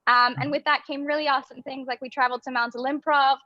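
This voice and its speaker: Bright high-voiced chatty woman on a call. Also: flat delivery, quick pace, dry recording. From Belgium. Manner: bubbly, chatty, laughs between phrases. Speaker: guest, improv theater artistic director. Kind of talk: casual interview chat. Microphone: video call. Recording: dull video call audio.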